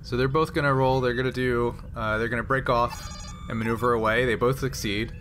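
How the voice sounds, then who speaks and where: A man running a tabletop game as game master, narrating casually and thinking aloud in halting phrases. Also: mid-range voice, fairly even intonation, a bit bright, a little hiss or hum.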